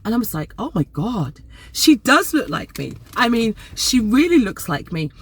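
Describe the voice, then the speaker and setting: A Nigerian-born woman in her forties, casually talking to camera in a chatty, animated way and repeating words for emphasis. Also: on the high side, normal speed, breathy edge. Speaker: vlogger.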